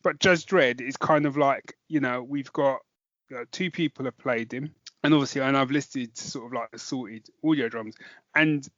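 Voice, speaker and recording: A man's voice: mid-range voice, moderate pace, a bit bright, no room sound, lo-fi sound. A man co-hosting a podcast, chatting casually about a review and thinking aloud as he goes. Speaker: podcast co-host.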